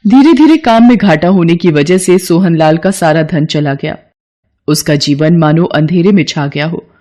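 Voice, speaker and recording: A woman's voice: lowish voice, moderate pace, warm tone. A woman narrating a scripted moral tale as a storyteller.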